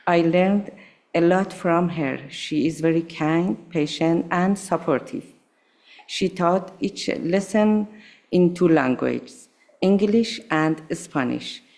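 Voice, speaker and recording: A woman whose first language is Farsi, reading a prepared thank-you speech at a school board meeting with careful, measured delivery. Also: on the low side, darkish tone. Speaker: adult school student speaker. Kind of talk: prepared speech read aloud.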